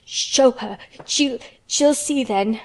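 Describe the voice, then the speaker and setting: A woman's voice: fairly high pitch, slow, rough recording. A woman voicing a sickly, hysterical young boy in a storybook, frightened and gasping through choked sobs. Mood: sobbing, gasping. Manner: gasping, choked, sobbing speech.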